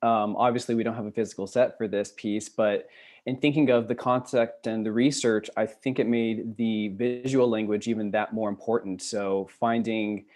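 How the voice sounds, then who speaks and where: A man's voice: on the low side, flat delivery, moderate pace, darkish tone. A young man explaining his design work on a video call panel in an articulate, earnest way. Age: young adult. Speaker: student scenic designer.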